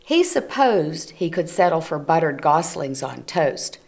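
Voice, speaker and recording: A woman reads a children's picture book aloud at an unhurried, slow pace. Her voice sits fairly low, and she gently stops to explain the pictures to young listeners. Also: big pitch swings. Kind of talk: read-aloud storytelling for children. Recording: community TV recording.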